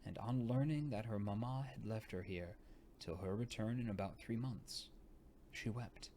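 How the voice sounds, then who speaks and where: A man reading a story aloud in a theatrical narration style, sometimes dropping to a hush. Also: lowish voice, moderate pace, a little hiss or hum. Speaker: audiobook reader.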